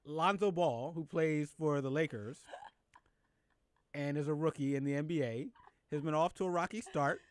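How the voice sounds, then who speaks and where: A man hosting a casual chat show about news and culture, animated, laughing often and stumbling over his words when excited. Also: a little high, moderate pace. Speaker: show host.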